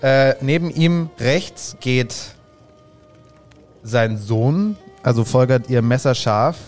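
A man running a tabletop role-playing game as game master, describing scenes in a relaxed way with many fillers. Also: mid-range voice, slow, faint music behind.

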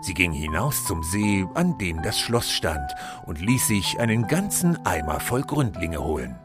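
A man narrating a scripted fairy-tale audio play as a solemn, expressive storyteller. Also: sits low, measured pace, over music. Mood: storytelling.

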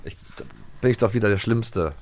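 A man's voice: on the low side, fairly quick, darkish tone. A man hosting a podcast in casual chat, bantering in a playful, mock-dramatic way. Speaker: podcast host.

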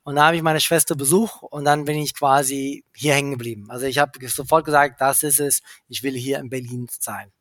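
A man in his forties who grew up in England, a podcast guest chatting casually, rambling, restarting his sentences and talking in quick bursts. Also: medium pitch, steady tone, fairly bright tone, no room sound, studio quality. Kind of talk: casual conversation.

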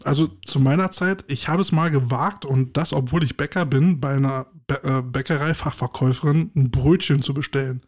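Ein Mann mit Berliner Akzent, Co-Host eines Podcasts, der im lockeren Gespräch lebhaft Anekdoten erzählt und Dialoge nachspielt. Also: male voice, medium pitch, a little fast, hardly any pauses. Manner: lively storyteller, acts out dialogue. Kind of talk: casual chat, anecdotes.

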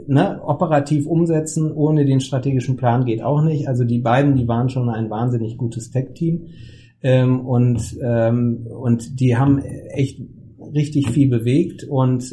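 A man's voice: mid-range voice, fairly even intonation, dark tone, a little hiss or hum. A man talking casually in a podcast conversation, often stopping with fillers and restarting his sentences. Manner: halting, many fillers and restarts. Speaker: committee lead of an association.

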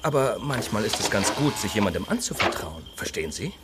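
A man playing a polite, patient colleague who gently coaxes in a children's audio play. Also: lowish voice, sing-song, a little fast, noisy background. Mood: polite, concerned. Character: polite, concerned male colleague of an old inventor.

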